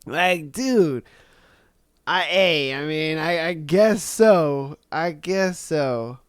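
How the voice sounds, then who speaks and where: Brief: young male podcast host, higher pitch, slightly nasal from a cold, slangy and animated. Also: very slow, smooth, dry recording, studio quality. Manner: animated, slangy reactions with frequent laughs. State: congested from a cold. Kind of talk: casual commentary reading listener posts.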